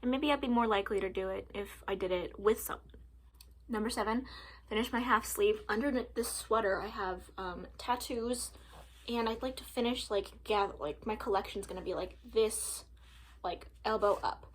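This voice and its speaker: A woman's voice: mid-range voice, normal speed, slight background noise, low-quality recording. A woman in her early twenties talking casually to camera at home, chatty, with lots of "like" and "um" and frequent laughs. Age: 20s. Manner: chatty, lots of likes and ums, laughs often. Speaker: vlogger.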